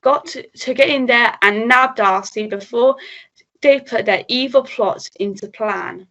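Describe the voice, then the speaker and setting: A steady police sergeant played by a woman in a melodramatic radio play, speaking very slowly in a highish voice. The delivery is halting and calming, on a dull recording. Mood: resolute. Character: steady, level-headed police sergeant rallying constables.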